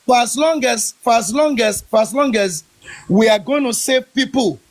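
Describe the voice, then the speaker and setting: Man lecturing everyone, really high voice, keeps repeating himself. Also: moderate pace. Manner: preachy, insistent, repeats himself. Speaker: debate participant. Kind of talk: heated live argument.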